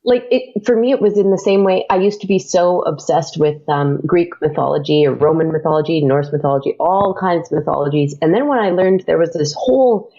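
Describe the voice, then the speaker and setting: A woman talks easily as a podcast guest, her voice carrying a gravelly rasp. She is chatty, fills gaps with "um" and "like" and laughs often. The recording is dull and lo-fi. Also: deep voice, fairly quick, few pauses, mellow. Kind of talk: casual interview chat.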